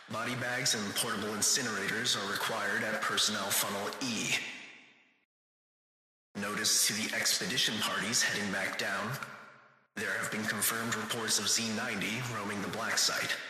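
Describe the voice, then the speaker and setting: Casting: cold, commanding game headquarters announcer, low-pitched, booming through a reverberant PA. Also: male voice, sharp tone. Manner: formal, commanding delivery. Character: stern male headquarters announcer, cold, authoritarian, occasionally mocking. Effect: public-address reverb. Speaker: facility announcer. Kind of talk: scripted video game public-address announcements. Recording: echoing PA with long reverb, music behind. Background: music.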